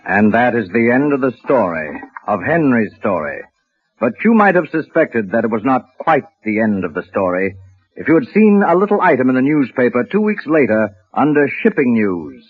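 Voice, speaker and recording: A tinny, dry vintage radio recording of a male narrator from the 1940s. He is deliberate and theatrical, with a husky voice that rises and falls widely. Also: mid-range voice, big pitch swings, no echo. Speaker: announcer and story narrator.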